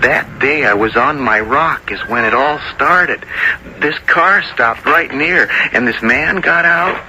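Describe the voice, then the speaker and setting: An actor playing a simple, earnest, dreamy teenage boy in a radio drama, speaking in a naive, halting, wondering way. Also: medium pitch, pushed and bright, slight background noise, low-quality recording. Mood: plain, earnest. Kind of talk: 1970s radio drama acting, first-person storytelling. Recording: old radio broadcast with music underneath.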